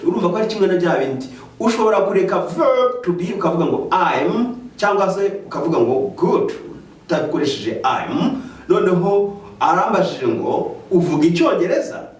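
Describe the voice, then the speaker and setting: Lively Rwandan man teaching English. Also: sits high. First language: Kinyarwanda. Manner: slow, careful explaining, lively rising questions. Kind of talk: bilingual language lesson. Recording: echoey room, mic at a distance.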